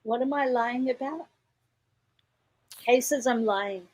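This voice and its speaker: A woman with a cold, hoarse and coughing, chatting casually and apologetic. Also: on the high side, takes it slowly, no room sound, clean studio sound. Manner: apologetic, coughs and clears throat. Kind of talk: casual livestream chat.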